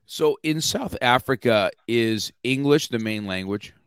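A man's voice: mid-range voice, expressive, unhurried, smooth, dry recording. A man hosting an interview, talking in a rambling way with many restarts and chuckles. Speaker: podcast host. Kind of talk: casual interview chat.

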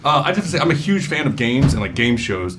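A man interviewing film actors in a press junket, upbeat and quick to laugh. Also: mid-range voice, sing-song, background noise. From Georgia, US.

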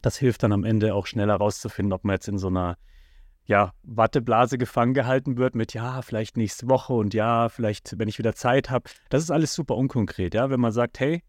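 A man talking calmly and at length on a casual podcast, giving advice. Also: mid-range voice, dry recording, studio quality. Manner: calm, measured, explains at length with fillers. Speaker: podcast co-host.

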